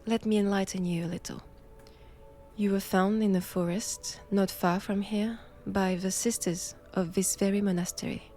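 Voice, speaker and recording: A woman with a French accent playing a knowing, menacing nun captor in an intimate roleplay audio drama, with measured, teasing, formal old-fashioned phrasing. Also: mid-range voice, fairly even intonation, mellow, faint music behind, natural voice. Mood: cool, taunting.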